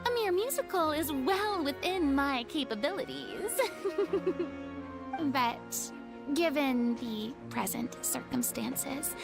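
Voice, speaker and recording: A woman's voice: high voice, lively intonation, slow, gravelly, heavily acted. A woman playing a proud, theatrical young retired stage star in a video game, speaking in a haughty, articulate way. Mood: proud, formal. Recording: game music behind.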